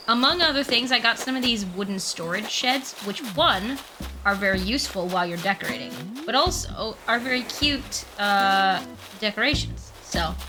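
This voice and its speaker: A young woman streaming from her room with a mild cold, chatting casually and scatterbrained while she plays and answers chat. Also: mid-range voice. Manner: rambling, scatterbrained, lots of ums and restarts; soft laughs, reads and answers chat. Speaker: livestreamer. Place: room at home with the window open. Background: game music.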